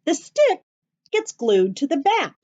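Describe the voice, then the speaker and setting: Children's story-time teacher, female. Lively, high-pitched, slow step-by-step delivery with encouraging questions. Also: lo-fi sound. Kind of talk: instructional talk to young children.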